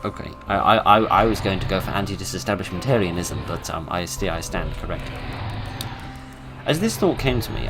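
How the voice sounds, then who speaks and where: Low-voiced British man, dry game commentary. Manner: dry, tongue-in-cheek humour. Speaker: gamer narrating a horror game playthrough. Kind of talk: casual game commentary, reading story text aloud.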